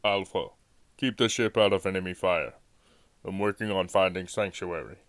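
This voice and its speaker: A man acts out an old alien commander in an audio drama, his voice lifted above the performer's narration yet still on the low side for a man. He gives orders with urgent, solemn authority, recorded dry and close. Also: normal speed, a bit bright, no room sound. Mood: urgent, commanding.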